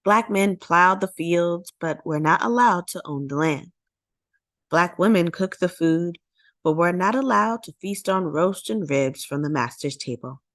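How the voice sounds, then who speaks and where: A woman's voice: fairly low pitch, unhurried, fairly bright tone, no room sound, clean studio sound. A woman narrating a children's storybook in a dramatic, emphatic read-aloud style, drawing out key words.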